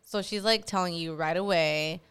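Woman podcast co-host, lowish smooth voice, relaxed and profane. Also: moderate pace. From Southern California. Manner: casual, laughs often, swears freely. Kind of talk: casual chat.

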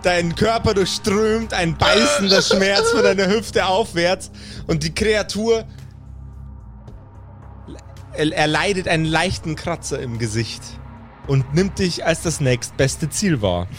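A high-voiced male game master tells an improvised fantasy adventure. His delivery is descriptive and dramatic, and music plays behind him. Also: unhurried, fairly bright tone. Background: background music.

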